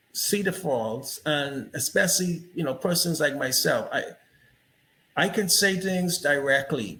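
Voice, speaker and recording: A man's voice: fairly high pitch, pushed and bright, poor audio. A man hosting an interview, talking reflectively and telling stories with frequent "you know" asides. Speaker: interview host. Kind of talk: casual interview conversation.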